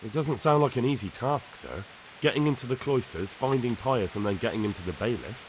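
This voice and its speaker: A man's voice: mid-range voice, animated, a little fast, slight background noise, close to an everyday voice, poor audio. Man acting an earnest young medieval retainer. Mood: doubtful. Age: young adult. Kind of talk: video game cutscene acting.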